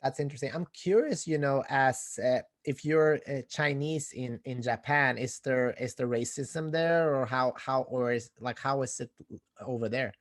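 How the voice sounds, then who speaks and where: Podcast host, man, mid-range voice, halting storyteller who repeats words, dry close recording. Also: medium pitch, dry recording. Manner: halting, repeats words while telling stories. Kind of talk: casual interview conversation.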